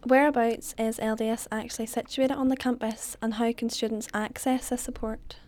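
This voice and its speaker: Higher, flat-toned woman interviewer. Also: moderate pace. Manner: brief, polite questions.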